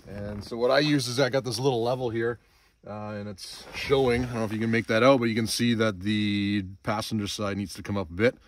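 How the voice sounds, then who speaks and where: A man's voice: fairly low pitch, fairly even intonation, a little fast. A middle-aged man explains gear step by step in a casual, conversational walkthrough, filming himself outdoors at arm's length. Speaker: presenter demonstrating a camper trailer. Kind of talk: casual instructional walkthrough vlog. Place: snowy outdoor campsite, briefly inside small trailer. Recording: clearly noisy outdoor selfie recording, clicks.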